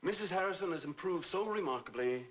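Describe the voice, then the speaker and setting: A courteous male doctor in an old 1940s film. He speaks briskly and formally, with reassurance. The soundtrack is dull and old. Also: high voice, animated. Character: courteous, professional family doctor.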